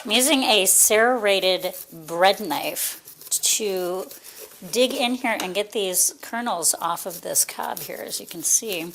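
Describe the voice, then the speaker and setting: A woman's voice: medium pitch, slow pace, creaky, fairly bright tone, slight background noise, low-quality recording. Bubbly female cooking show co-host. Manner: bubbly, enthusiastic, laughs easily.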